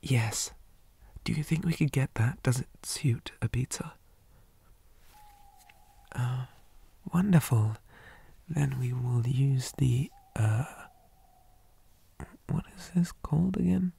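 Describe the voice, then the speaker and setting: A man playing a shy, old-fashioned zombie boy on a date in an ASMR roleplay, speaking softly and breathily, often near a whisper, with hesitant stammers and formal wording. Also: mid-range voice, takes it slowly, gravelly, long pauses, husky, dry recording, full character voice. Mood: timid wonder. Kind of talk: ASMR roleplay, soft half-whispered talk.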